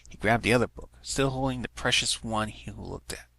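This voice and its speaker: A man's voice: lowish voice, normal speed, vocal fry, sharp tone. A man giving a scripted narration of a story as an amateur reader. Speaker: amateur fan fiction reader.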